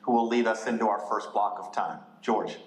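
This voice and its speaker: A man chairing a church committee, giving measured welcome remarks with frequent pauses. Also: on the low side, fairly even intonation, a little hiss or hum. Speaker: subcommittee chair. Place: church hall with audience. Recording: echoey hall.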